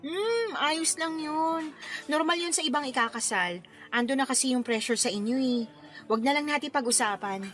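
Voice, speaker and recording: A woman's voice: sits high, expressive, moderate pace, fairly bright tone. A woman with a Filipino accent acting a friendly, patient wedding planner in a radio drama. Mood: light, teasing. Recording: music bed under the voice.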